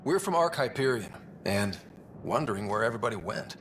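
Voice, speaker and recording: Lively young space explorer. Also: male voice, fairly low pitch, fairly quick, slight background noise. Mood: casual, curious.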